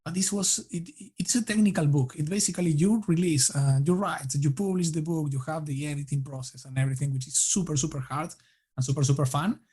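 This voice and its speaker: A man from Argentina with an Argentine Spanish accent, answering interview questions in a casual, halting way with many restarts. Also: on the high side, dark tone, husky. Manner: halting, many restarts and fillers, laughs lightly. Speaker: guest.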